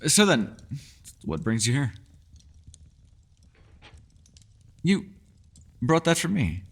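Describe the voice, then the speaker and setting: A man's voice: medium pitch, wildly swooping pitch, a little slow, creaky, exaggerated voice. A man playing a mysterious, ageless male elf in a fantasy ASMR roleplay, teasing yet secretive, with courteous, formal, old-fashioned phrasing. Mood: guarded, courteous.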